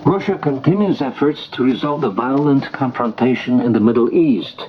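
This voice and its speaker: A male newsreader delivers a formal bulletin in deliberate, separated phrases. His mid-pitched voice comes through a dull shortwave broadcast with faint static behind it. The delivery is scripted and measured throughout. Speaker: radio newsreader. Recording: noisy, muffled shortwave radio broadcast recording. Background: shortwave static and noise.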